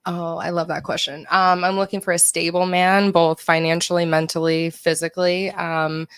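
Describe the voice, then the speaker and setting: Woman in her 30s, low-ish voice, confident and direct. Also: little pitch movement, normal speed, clean tone, dry recording, studio quality. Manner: self-assured, direct. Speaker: panel guest. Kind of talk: casual panel chat.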